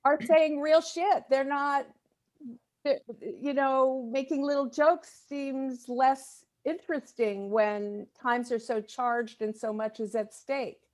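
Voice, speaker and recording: A woman chatting casually in a group discussion, halting and repeating words as she works out her thoughts. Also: high-pitched, fairly even intonation, a little mellow. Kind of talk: casual video-call chat.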